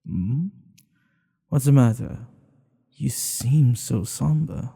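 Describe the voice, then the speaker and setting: A man playing a smitten older king in an ASMR boyfriend roleplay, regal and teasing, with courtly phrasing and a breathy voice that often drops toward a whisper. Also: mid-range voice, slow, dark tone, heavily acted. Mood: reassuring, tender.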